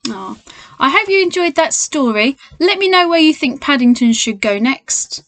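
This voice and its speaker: A woman with a slightly high voice reads a storybook to young children. She is expressive and speaks straight to her listeners, like a primary school teacher. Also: normal speed, no echo. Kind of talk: children's storybook read-aloud.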